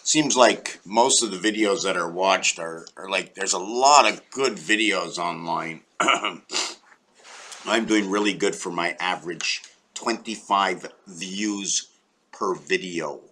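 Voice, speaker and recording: A man's voice: low-pitched, slow pace, bright, forceful tone. A man from Quebec explaining number patterns to a small online audience in a meandering, musing way, often counting aloud. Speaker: video creator. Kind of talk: rambling numerology explanation.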